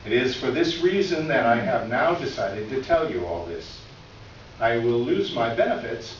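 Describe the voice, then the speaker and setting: A man's voice: mid-range voice, natural voice. A man playing a formal, aggrieved older store clerk near retirement, reading a wordy, earnest letter of confession aloud for readers theater. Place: live reading in a reverberant room. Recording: echoey room from far off, dull, noisy.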